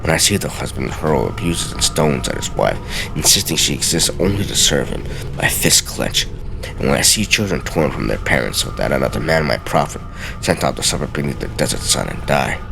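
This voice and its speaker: A man with a gravelly voice doing a theatrical, deliberate dramatic reading as a let's play host, sometimes stumbling over words. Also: rumbling low, animated, background noise. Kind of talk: dramatic reading of video game journal pages. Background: music.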